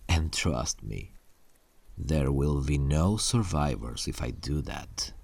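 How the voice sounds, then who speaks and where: A menacing warlord king from a medieval roleplay drama, acted by a man. His voice is husky and full of gravel, swinging expressively as he talks down to his captive queen. He is possessive, deliberate and coldly threatening. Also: extremely low pitch, expressive, a little mellow, dry recording, exaggerated voice. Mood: cold menace.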